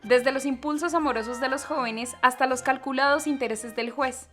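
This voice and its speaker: A woman's voice: sits high, steady tone, normal speed, a bit bright, faint music behind. A woman reading scripted narration as a programme narrator.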